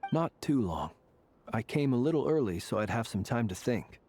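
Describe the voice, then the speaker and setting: An actor playing a composed, elegant fae warrior in a video game, speaking in a measured, formal and reflective way. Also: male voice, mid-range voice, animated, loud music behind the voice, lightly acted. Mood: calm, reflective. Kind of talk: dramatic video game voice acting.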